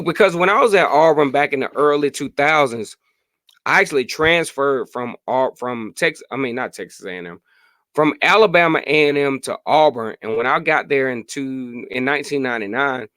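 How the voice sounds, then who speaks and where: A hoarse man in his late thirties hosting a casual sports podcast live stream, chatting freely and answering viewer comments. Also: medium pitch, a bit bright, no room sound. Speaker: podcast host.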